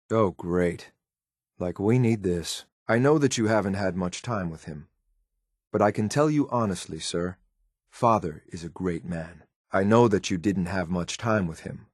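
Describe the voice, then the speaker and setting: An actor playing a loyal, coldly disdainful synth soldier in a video game, speaking in a clipped, military, deferential but blunt way. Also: male voice, lowish voice, no room sound, studio quality. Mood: urgent, concerned, then earnest. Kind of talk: video game companion voice lines.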